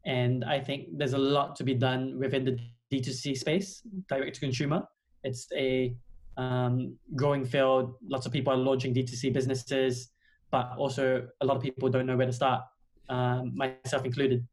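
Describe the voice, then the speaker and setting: A man's voice: medium pitch, normal speed. A young man from Australia, a podcast guest, talking casually with plenty of ums and "kinda". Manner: relaxed, many ums and "kinda". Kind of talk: casual interview chat.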